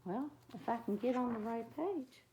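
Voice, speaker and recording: A woman reading a picture book aloud to children in an expressive way, often chatting directly to her young listeners. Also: a little high, normal speed, muffled recording, slight background noise. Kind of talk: animated read-aloud with chatty asides.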